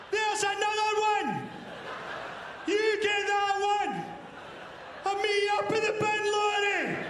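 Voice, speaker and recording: A binman played by a male comic bellows every phrase across the street, pitched far above normal speech. It is a stand-up act-out in a noisy, reverberant hall. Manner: shouting every phrase at full volume.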